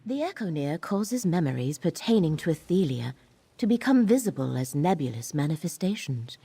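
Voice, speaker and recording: An actress playing a grave, scholarly guide in a fantasy video game, speaking in a measured, formal, solemn manner. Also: female voice, low voice, sing-song, dark tone, breathy edge, exaggerated voice. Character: grave, scholarly woman guide to ancient ruins.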